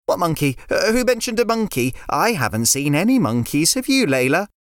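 A woman's voice: low voice, big pitch swings, a little fast, no room sound, clean studio sound, voice pushed up. A woman voicing a sensible young boy who is earnest and a little flustered.

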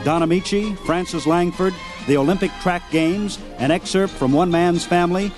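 A man's voice: sits high, moderate pace, a little mellow, soft background music. A man hosting a radio program, reading scripted, nostalgic narration as an announcer. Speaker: radio host.

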